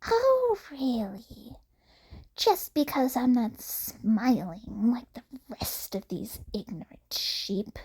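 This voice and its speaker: Sullen young male villain, played by a woman narrator: husky, slow, sardonic menace for an audio story. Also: fairly high pitch, sing-song, darkish tone, heavily acted, low-quality recording. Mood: bitter, mocking.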